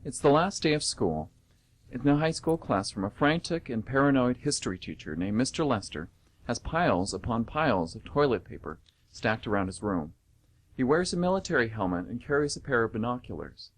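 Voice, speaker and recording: A man's voice: mid-range voice, sing-song, brisk. A man narrating scripted stage directions for an amateur radio theatre comedy.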